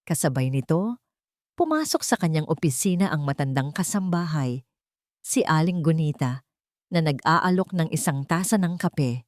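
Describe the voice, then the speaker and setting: A woman's voice: sits low, expressive, normal speed, no echo, studio quality. A woman reading a scripted love story as a dramatic storyteller.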